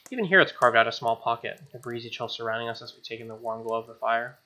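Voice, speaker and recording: A woman's voice: rumbling low, quick pace, bright, forceful tone. A woman streaming a visual novel playthrough, reading narration aloud and dropping in casual, slangy, sweary commentary.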